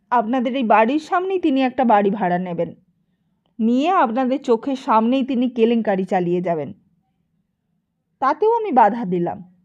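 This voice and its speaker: A woman voicing a smooth-talking, meddling gentleman who moralises and flatters in an audiobook. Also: fairly high pitch, dull audio. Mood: aggrieved, moralising. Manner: ingratiating, moralising.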